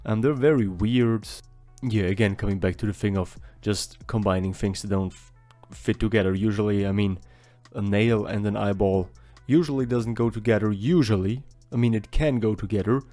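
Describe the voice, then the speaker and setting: A young man talks in a loose, rambling way, poking fun at himself as he goes. His voice sits low and sounds soft and mellow. Faint music plays underneath. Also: fairly even intonation, moderate pace, few pauses. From Austria. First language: German. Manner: rambling, self-deprecating, chatty. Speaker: artist vlogger. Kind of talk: casual voice-over while drawing.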